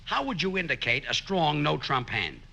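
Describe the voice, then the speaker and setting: An actor playing a dry, long-suffering husband with deadpan, wry comebacks, in 1940s radio sitcom style. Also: male voice, a little high, moderate pace, creaky. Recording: hissy old radio broadcast.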